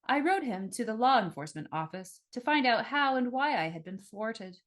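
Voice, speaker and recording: A woman plays a demon wearing a man's body in a fantasy audiobook. The speech is formal and deliberate, cold and threatening, like a predator that feels no need to hurry. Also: a little high, animated, a bit bright, voice pushed up. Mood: cold, smug. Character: menacing demon possessing a man, cold and formal.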